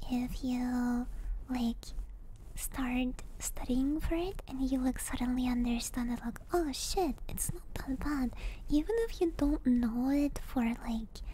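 A woman streamer whispering breathily in a soothing, gentle way, chatting casually in ASMR style close to a binaural microphone. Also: high voice, slight background noise, poor audio. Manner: soothing, gentle personal attention. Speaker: ASMR streamer hosting a live chat. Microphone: close binaural stereo microphone.

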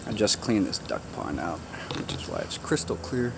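A man casually showing viewers around his backyard garden outdoors, chatty and relaxed, joking with his animals. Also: fairly low pitch, normal speed, vocal fry, noisy background. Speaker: gardener giving a backyard tour. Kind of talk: casual walk-through narration.

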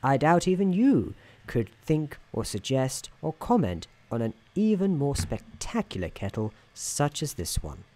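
A soft-spoken man narrating a scripted ASMR story in a wry, mock-grand manner. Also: medium pitch, very animated, measured pace, hardly any pauses, slight background noise.

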